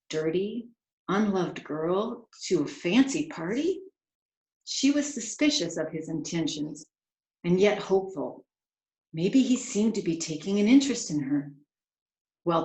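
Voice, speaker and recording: A woman reading a picture book aloud with expressive, dramatic storyteller phrasing, drawing out key words. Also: lowish voice, roomy sound, rough recording. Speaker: children's author reading aloud.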